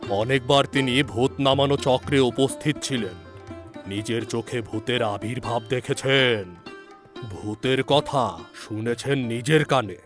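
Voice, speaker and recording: A man's voice: mid-range voice, lightly acted. A man voicing an argumentative older male herbal doctor in an audio story, emphatic and condescending. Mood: earnest.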